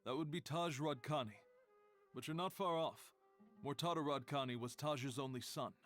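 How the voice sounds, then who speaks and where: A man voicing a grave, measured male scholar in a video game, speaking in a formal, solemn manner. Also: fairly high pitch, fairly bright tone, natural voice. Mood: matter-of-fact. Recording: game music behind the voice.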